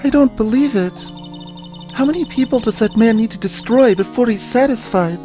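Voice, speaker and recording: A woman acts out an earnest young woman from a video game. Her voice sits fairly high and is very mellow and soft. She is plucky and emotive, with music faintly behind her. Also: moderate pace, lightly acted. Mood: anguished, indignant. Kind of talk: dramatised video game dialogue reading.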